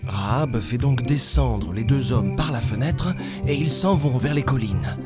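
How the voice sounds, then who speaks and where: A man's voice: medium pitch, animated, measured pace, natural voice. A man playing a patient storyteller in a children's cartoon, telling Bible stories expressively over music. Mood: gentle, expressive. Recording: music behind the voice.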